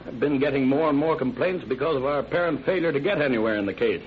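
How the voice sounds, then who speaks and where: An actor playing a businesslike, authoritative county sheriff who asks clipped questions in an old-time radio crime drama. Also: male voice, medium pitch, fast, close to an everyday voice. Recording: tinny, noisy vintage radio recording.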